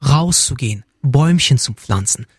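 A man's voice: medium pitch, animated, moderate pace, husky, no echo, clean studio sound. A man who grew up in Germany, answering interview questions earnestly and often speaking in lists. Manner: earnest, list-like phrasing. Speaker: guest, community representative.

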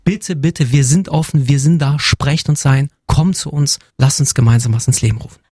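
Earnest guy in an interview, rattles off lists, warm kinda husky voice, dry close sound. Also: medium pitch, normal speed, dry recording. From Germany. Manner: earnest, list-like phrasing. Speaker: guest, community representative. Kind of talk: interview answers.

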